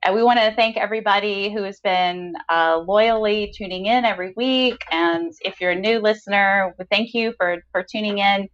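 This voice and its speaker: A woman's voice: mid-range voice, normal speed, hardly any pauses, fairly bright tone. Female podcast host, gushing and keen, conversational delivery, somewhat dull recording. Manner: effusive, enthusiastic. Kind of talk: casual podcast chat.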